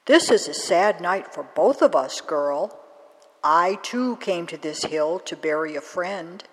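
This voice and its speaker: A woman voicing a bent little old crone who speaks gently and murmurs kindly. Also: on the low side, animated, slow, big-room sound, low-quality recording. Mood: gentle, wise.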